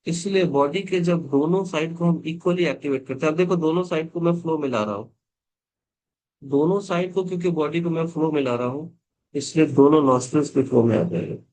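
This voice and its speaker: A man's voice: on the high side, brisk, a little mellow, low-quality recording. A man, a yoga teacher explaining breathwork on a video call, patient and reassuring, mixing English words into his Hindi. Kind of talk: online yoga class instruction.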